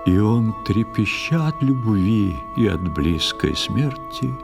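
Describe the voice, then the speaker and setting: A man with a low voice narrates dramatic verse as in a storybook audio play. His delivery is expressive and theatrical, with music clearly playing behind him. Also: slow.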